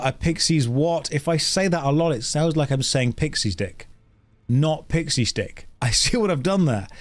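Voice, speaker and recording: British male streamer, mid-range voice, casual and jokey, faint music behind. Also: medium pitch. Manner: playful, wry, jokey. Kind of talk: casual livestream chat running chat polls.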